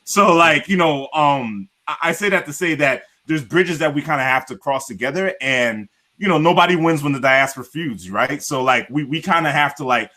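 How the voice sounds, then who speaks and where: A man's voice: on the high side, normal speed, hardly any pauses, bright, forceful tone. A man with an American accent hosting a casual online panel, talking freely with lots of fillers. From grew up in the United States. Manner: chatty, filler-heavy, emphatic. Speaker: panel host.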